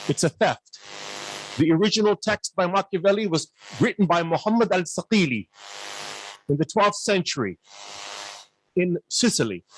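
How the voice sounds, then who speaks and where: A man's voice: high voice, slight background noise, rough recording. A man speaking as an academic on a panel, earnest and lecturing, firing off rhetorical questions. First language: not Urdu. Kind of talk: panel discussion remarks.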